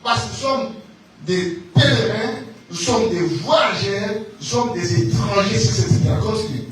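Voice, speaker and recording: High-pitched Congolese preacher in an echoey church. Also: male voice, a little slow, a little hiss or hum. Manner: repetitive, emphatic, calls on listeners to answer. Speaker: preacher, pastor. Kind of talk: fervent, exhorting sermon. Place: church hall. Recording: very echoey church PA, distant, music behind.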